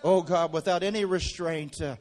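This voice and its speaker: A man preaching a sermon in a church. He tells stories quietly, then leads loud, fervent prayer. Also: on the high side, steady tone, normal speed. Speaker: guest preacher.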